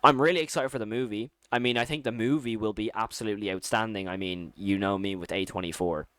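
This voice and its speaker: A man's voice: on the low side, quick pace, fairly bright tone, clean tone, no echo. A man giving casual, opinionated commentary as a video host, talking in an informal, slangy and enthusiastic way. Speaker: YouTube host ranking horror series.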